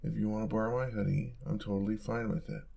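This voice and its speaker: A man's voice: fairly low pitch, steady tone, quick pace, gravelly, mellow. A young man playing a caring, teasing college roommate in an intimate, casual audio roleplay. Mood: gentle, caring.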